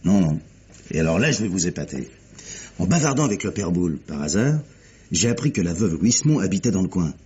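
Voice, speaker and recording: Chatty radio-drama detective, rapid and low-voiced, proud of his own legwork, colloquial delivery. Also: exaggerated voice. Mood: pleased, eager. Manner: colloquial, animated storyteller. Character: chatty, eager male police inspector, proud of his findings. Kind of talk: mid-century French radio crime drama acting. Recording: dull old radio recording, clear hiss.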